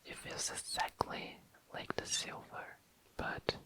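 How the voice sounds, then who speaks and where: An ASMR host whispering in a breathy, unvoiced voice, musing casually and tentatively, close to the mic. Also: slow pace. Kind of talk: whispered ASMR, casual makeup swatching narration.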